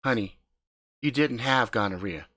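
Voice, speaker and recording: A man's voice: mid-range voice, lively intonation, a little slow, fairly bright tone. A man voicing a prim, disapproving wife who investigates the paranormal with her husband.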